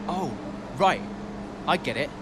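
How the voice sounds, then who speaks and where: A proud young racing driver in a video game, defensive and sarcastic. His voice sits fairly high and swings widely, with clear ambient noise behind it. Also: animated, takes it slowly. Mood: indignant, hurt. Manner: indignant, sarcastic. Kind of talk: video game cutscene acting.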